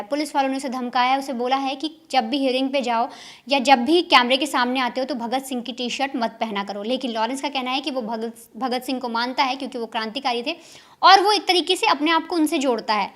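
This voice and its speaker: Woman, high pitch, crime news commentary, emphatic explaining, addressing viewers. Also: fairly bright tone.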